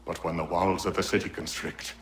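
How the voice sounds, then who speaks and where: Guy acting as a scheming colonial official for a game, low oratorical voice, some background ambience. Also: little pitch movement, brisk, roomy sound, a little hiss or hum, lightly acted. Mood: bitter, impassioned. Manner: oratorical, persuasive. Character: scheming older colonial official, smooth orator turning threatening. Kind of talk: dramatic video game acting.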